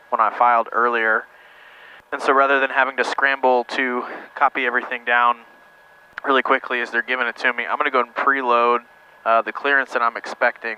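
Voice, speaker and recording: A man's voice: mid-range voice, sharp tone, a little hiss or hum, rough recording. A man giving a casual instructional explanation in a plain-spoken, conversational way, as a presenter teaching practical tips.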